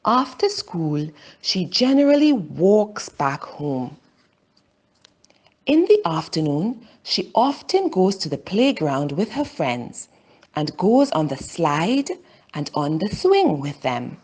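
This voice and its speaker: Female storybook reader for young learners: slow, deliberate, lively intonation, slightly husky low-set voice. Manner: deliberate, simple phrasing with pauses. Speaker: children's storybook narrator. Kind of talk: slow scripted story narration for language learners.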